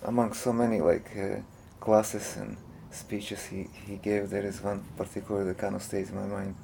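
A man's voice: fairly low pitch, flat delivery, normal speed, gravelly, dark tone, a little hiss or hum, rough recording. A man from Croatia, speaking English as an in a hesitant interview, full of fillers and restarts. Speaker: interview guest, monk. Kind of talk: casual interview talk.

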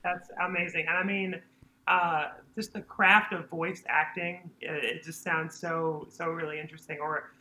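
Male guest singer, naturally high and bright voice, light chatty delivery, echoey room. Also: level tone, moderate pace, slight background noise. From North Carolina. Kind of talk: casual interview chat. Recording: roomy sound with faint guitar.

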